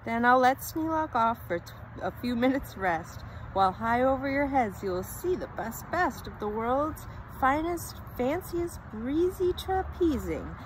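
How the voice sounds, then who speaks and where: A woman's voice: high voice, very animated, unhurried, hardly any pauses, a little hiss or hum, rough recording. A woman reading a rhyming children's story aloud with theatrical, dramatic emphasis.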